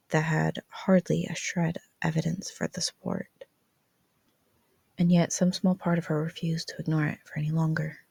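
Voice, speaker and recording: A woman's voice: deep voice, vocal fry, darkish tone, husky, no room sound. A woman reading fan fiction aloud as scripted, dramatic story narration.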